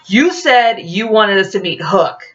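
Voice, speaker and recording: A wary, hot-headed storybook man, played by a woman, makes biting demands. The delivery is animated in pitch. The audio is a bit dull. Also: a little slow, distant mic, faint music behind. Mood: accusing.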